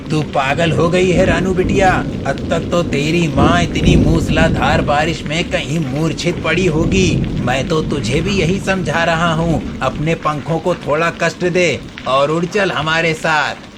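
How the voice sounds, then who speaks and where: A man's voice: fairly high pitch, unhurried, heavy background noise, close to an everyday voice. A man voicing a grown male crow who is blunt and gloomy in a cartoon story. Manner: blunt, familiar.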